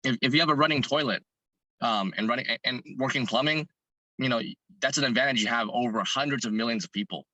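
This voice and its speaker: Hoarse man, bright and earnest. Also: mid-range voice, fast talker, no room sound, low-quality recording. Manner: earnest, thinking aloud with many restarts. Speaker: fitness content creator and business owner. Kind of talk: interview guest answering questions.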